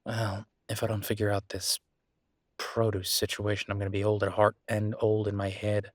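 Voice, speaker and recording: A man playing an affectionate, playfully grumbling young werewolf chef in an intimate, casual boyfriend roleplay audio, chatty and halting. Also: lowish voice, completely flat delivery, no room sound, studio quality, close to an everyday voice. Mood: grumbling, weary.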